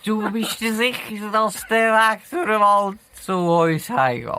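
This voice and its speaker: A man's voice: sits high, a little slow, sharp tone, a little hiss or hum. A man playing a drunk, doubting party-goer in a Swiss German audio drama, slurry and sarcastic. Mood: sceptical, annoyed.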